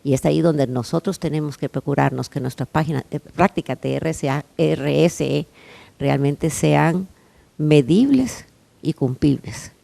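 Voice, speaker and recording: Gravelly, emphatic woman. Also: sits low, unhurried, mellow. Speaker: panelist, small business representative. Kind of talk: panel talk, persuasive.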